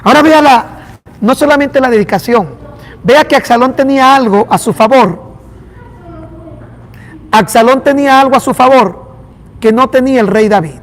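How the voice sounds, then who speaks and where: A man with a Venezuelan accent and a high voice preaches to his congregation. He acts out little dialogues as he goes. The sound rings with a long reverb from a big hall. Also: normal speed, pauses often. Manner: animated preaching with acted-out dialogue. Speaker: pastor. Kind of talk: sermon.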